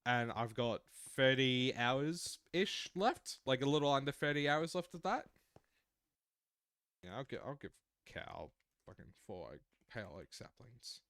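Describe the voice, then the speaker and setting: Aussie dude mid twenties, smooth clean voice, dry close sound, rambly game stream chat. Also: male voice, mid-range voice, big pitch swings, unhurried, fairly bright tone, no echo. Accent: Australian. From Australia. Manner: rambling, explains at length with restarts. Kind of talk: casual livestream chat while gaming.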